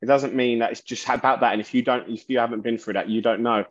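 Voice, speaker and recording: A man, a musician guest in an interview, talking haltingly and often restarting his sentences. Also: medium pitch, fairly even intonation. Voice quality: loud voice. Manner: halting, searching for words, many restarts. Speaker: musician guest, bassist and singer. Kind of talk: casual interview talk. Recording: dull lo-fi sound.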